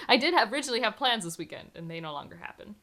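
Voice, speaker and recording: A woman's voice: medium pitch, lively intonation, fast, bright, forceful tone. A woman book vlogger chatting casually to camera, enthusiastic and full of "like" fillers. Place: home room surrounded by books.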